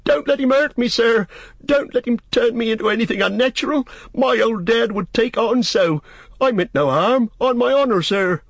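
A man's voice: on the high side, animated, measured pace, creaky, husky, no room sound, voice pushed up, heavily acted. A man voicing a simple-hearted young male hobbit gardener from a storybook, deferential, rustic and pleading. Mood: terrified pleading.

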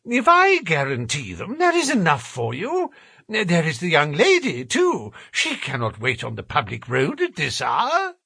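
A man voicing a fussy, anxious, genteel gentleman with a plaintive, fretful manner in an audiobook story. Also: huge pitch swings, measured pace, gravelly, breathy edge, dry recording, clean studio sound, exaggerated voice. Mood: peeved, pleading. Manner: high-pitched, fretful, genteel phrasing.

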